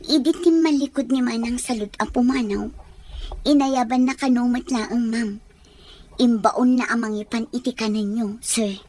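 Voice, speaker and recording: A woman's voice: on the high side, normal speed, a little mellow, a little hiss or hum, natural voice. A woman with a Filipino accent voicing a nervous, evasive house servant who stammers, in a radio drama. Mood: worried. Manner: hesitant, stammering. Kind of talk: Ilocano radio drama acting.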